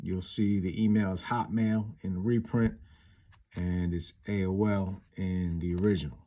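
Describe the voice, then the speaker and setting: A man's voice: sits low, fairly even intonation, unhurried, warm tone. A man giving a casual, unscripted comparison as a collector hosting his own video, explaining things in an informal, rambling way. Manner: informal, rambling, explanatory, peppered with you knows.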